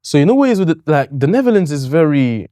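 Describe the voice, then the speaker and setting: A man's voice: a little high, brisk, no echo, clean studio sound. A young man from Tanzania, a guest on an interview show, talking casually with lots of fillers and repeated phrases. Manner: relaxed, repeats himself, many likes and fillers. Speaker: guest, comedian and streamer. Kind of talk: casual conversation.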